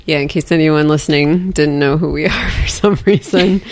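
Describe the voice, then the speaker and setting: A woman's voice: low-pitched, steady tone, normal speed, slight background noise. A woman co-hosting a casual movie podcast, chatty and giggly, often trailing off and starting over. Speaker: podcast co-host.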